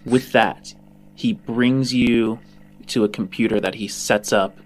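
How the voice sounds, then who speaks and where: A man narrating a sci-fi tabletop game as game master, informal and descriptive, halting as he improvises. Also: lowish voice, fairly even intonation. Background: music bed.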